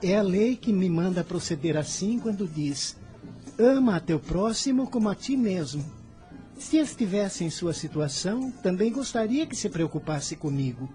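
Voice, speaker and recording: A woman's voice: fairly low pitch, normal speed, natural voice. A woman with a Brazilian accent playing a kind, solemn, pious young boy in a radio drama. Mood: earnest. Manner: solemn, caring, expressive. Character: earnest, kind, pious boy apostle, gently protective. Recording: music under the voice.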